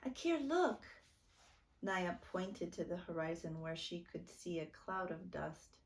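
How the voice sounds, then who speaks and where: Slow, gravelly-edged woman reading a storybook. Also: fairly low pitch, flat delivery. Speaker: storytime reader. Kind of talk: children's book read-aloud narration.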